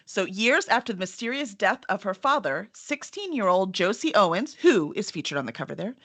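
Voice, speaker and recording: Casting: female host, medium pitch, chatty and expressive, informal publisher-presenter style. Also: a little fast. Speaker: publisher hosting the event. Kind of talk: casual hosting and interviewing, with read-aloud book copy.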